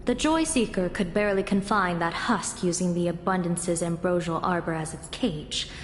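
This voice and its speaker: An actress playing a poised, shrewd female general in a video game, speaking in a measured, formal, wryly amused manner. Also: fairly low pitch, a little hiss or hum, lightly acted. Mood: resolute. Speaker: military commander. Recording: roomy echo with game music behind.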